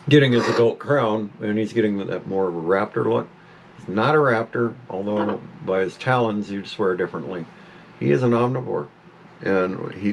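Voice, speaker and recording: A man in his fifties chats at home about his parrot. His voice sits very low with a gravelly fry, and his talk wanders and breaks off to scold the bird. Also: a little hiss or hum, low-quality recording. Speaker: pet owner vlogger. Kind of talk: casual talk to camera.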